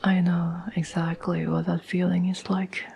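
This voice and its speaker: A woman playing a caring, patient girlfriend in an ASMR roleplay, speaking in a soft, breathy whisper with a gentle, reassuring manner. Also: on the low side, little pitch movement, a little hiss or hum. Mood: tender, reassuring. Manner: gentle, slow, soothing, reassuring.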